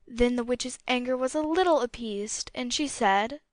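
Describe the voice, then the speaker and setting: A woman narrating a fairy tale as an audiobook, with a clear storytelling delivery. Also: fairly high pitch, moderate pace, dry recording.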